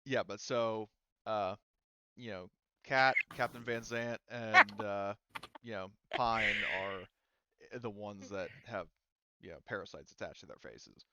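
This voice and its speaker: A man running a tabletop game as game master, improvising narration in a halting, casual way. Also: fairly low pitch, heavy background noise.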